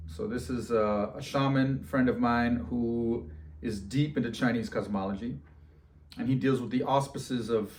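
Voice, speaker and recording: A man telling a story in a casual, slangy, animated way, acting out bits of dialogue as he goes. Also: mid-range voice, slight background noise. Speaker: storyteller and host.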